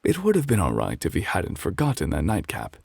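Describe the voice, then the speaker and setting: Man voicing a sly, low-voiced little animal trickster. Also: huge pitch swings, brisk, creaky, darkish tone, breathy edge, no echo, clean studio sound, full character voice. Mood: sore, rueful. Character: sly, lazy male possum and raccoon tricksters. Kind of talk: bedtime storybook characters.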